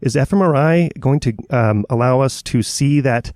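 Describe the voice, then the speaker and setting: A man's voice: medium pitch, normal speed, a little mellow, no room sound, studio quality. Man asking long, winding questions. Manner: hesitant, rephrases questions mid-sentence. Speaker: host. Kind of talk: interview questions.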